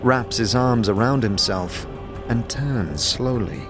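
A man narrating a scripted fantasy audio drama in a measured storytelling manner, also speaking as its protagonist. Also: mid-range voice, expressive. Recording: music under the voice.

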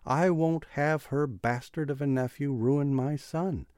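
A man's voice: fairly high pitch, measured pace, darkish tone, dry recording, studio quality, voice pushed up, close to an everyday voice. A man voicing an angry, scornful Irish father in an audiobook novel, indignant and emphatic. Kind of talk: audiobook character dialogue.

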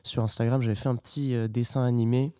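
A young man speaks softly and haltingly, as if thinking aloud. His voice sits at an ordinary pitch, and he talks with a relaxed, reflective air as a guest in a casual interview. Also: no room sound, clean studio sound. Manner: soft-spoken, halting and reflective. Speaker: hip hop artist guest. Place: artists' workshop.

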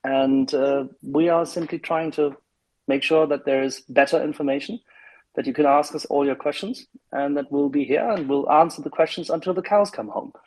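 A man's voice: fairly high pitch, fairly even intonation, normal speed, darkish tone, low-quality recording. A man hosting a casual panel discussion, speaking earnestly with some hesitant fillers.

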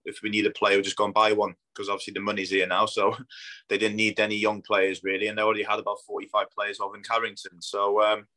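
A man in his thirties with a fairly deep, forceful voice and little melodic swing. His accent blends Polish with Scandinavian and northwest English, Polish being his first language. He talks as a footballer in a relaxed interview, plainly and conversationally. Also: quick pace, poor audio. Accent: Polish mixed with Scandinavian and northwest English. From Poland. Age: 30s. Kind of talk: casual interview chat.

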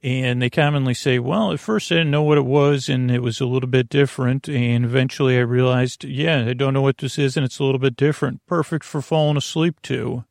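A man with a creaky voice hosting a bedtime podcast in a rambling monologue, halting and meandering off into tangents. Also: medium pitch, steady tone, darkish tone, no room sound, clean studio sound. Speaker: podcast host.

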